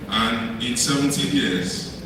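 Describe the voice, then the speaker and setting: A middle-aged Nigerian man with a Nigerian English accent giving a conference talk, speaking quickly with many ums and uhs. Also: mid-range voice. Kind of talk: conference presentation. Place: large conference hall. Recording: far-off mic, echoey hall, noisy.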